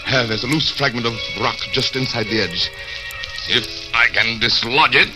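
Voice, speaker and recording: Frightened male prisoner in a dungeon played by a man, halting and theatrical, vintage radio drama with loud music underneath. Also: medium pitch, natural voice, low-quality recording. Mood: tense, halting. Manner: halting, anguished, theatrical delivery. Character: weakened, frightened captive soldier in an Inquisition dungeon. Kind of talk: 1940s radio horror acting.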